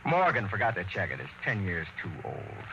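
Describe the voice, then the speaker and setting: A man's voice: sits low, huge pitch swings, normal speed, creaky, lo-fi sound, noisy background, exaggerated voice. A man playing a stern, weary space expedition captain in a mid-century radio drama, speaking and narrating in a clipped, commanding, dramatic way. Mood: grave, resolute. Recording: old radio broadcast with music behind.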